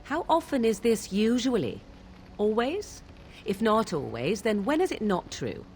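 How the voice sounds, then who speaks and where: An actress playing an aloof, sardonic young witch of the wilds in a fantasy video game, with formal archaic diction and a deliberate, theatrical delivery. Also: medium pitch, sing-song, a little mellow, slight background noise. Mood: arch, challenging.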